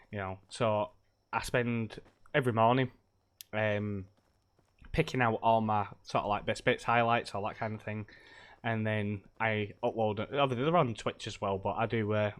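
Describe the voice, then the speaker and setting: Male game streamer, a bit low, croaky with a cold, chatty and hesitant. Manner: rambling, loose, many hesitations. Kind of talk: casual live-stream chat while gaming.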